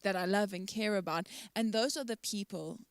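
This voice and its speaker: A woman's voice: mid-range voice, moderate pace, dry recording. A young woman speaking in an unscripted church discussion, earnest and careful in how she sets out her points. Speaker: church team member in a discussion.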